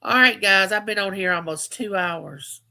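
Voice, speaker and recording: A woman's voice: mid-range voice, moderate pace, gravelly, sharp tone. A woman, hosting a casual live chat and telling stories, repeating phrases for emphasis. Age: 50s. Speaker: livestream host.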